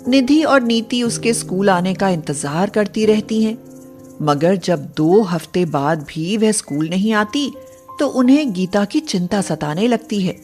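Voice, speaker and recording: A woman's voice: mid-range voice, expressive, unhurried, darkish tone, soft background music. A woman narrating a scripted story as the storyteller.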